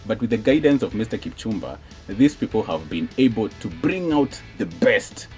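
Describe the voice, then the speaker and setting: A Kenyan man explains in an interview setting. His voice is a little low, and faint music plays underneath. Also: very animated, moderate pace, darkish tone.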